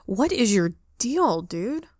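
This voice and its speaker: A woman's voice: medium pitch, sing-song, slow pace, darkish tone. A woman playing through a game, reacting casually in an informal, sardonic and uneasy way. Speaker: game player reacting and voicing lines. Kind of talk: casual gaming playthrough commentary.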